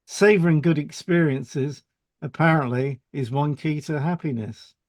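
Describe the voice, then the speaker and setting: A man's voice: on the high side, measured pace, darkish tone, dry recording, studio quality. A man reading a scripted explanatory podcast as co-host.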